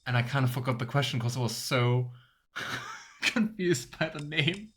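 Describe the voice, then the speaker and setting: A man's voice: mid-range voice, lively intonation, measured pace, background noise. Joking let's play guy. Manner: joking, rambling. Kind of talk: casual gameplay commentary. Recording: with game music behind.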